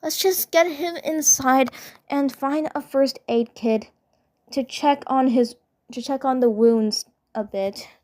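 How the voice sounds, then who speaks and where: Composed young cartoon team leader, high and unhurried, caring but gently in charge, played by a woman.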